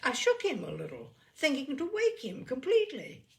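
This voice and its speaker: A woman reading a literary story aloud as an expressive narrator. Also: high voice, normal speed, vocal fry. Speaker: storyteller, reader.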